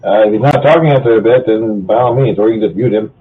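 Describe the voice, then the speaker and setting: Husky man on a phone-like voice call. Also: on the low side, fast. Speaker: amateur fan fiction reader. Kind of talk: casual chat and group story reading. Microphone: online voice call. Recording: muffled, phone-like online voice call.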